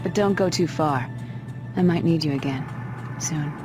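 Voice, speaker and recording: A cool, controlled female agent, as a woman acts her speaks with measured, teasing authority in a video game cutscene. Her voice sits lowish for a woman, with music clearly present underneath. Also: close to an everyday voice. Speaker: game character.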